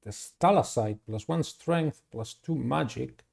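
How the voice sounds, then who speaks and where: A man doing a playthrough of a visual novel, reading it aloud and commenting in a deliberate, halting way. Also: medium pitch, vocal fry, darkish tone. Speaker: gamer playing and narrating a visual novel. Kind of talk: dramatic read-aloud with casual playthrough commentary.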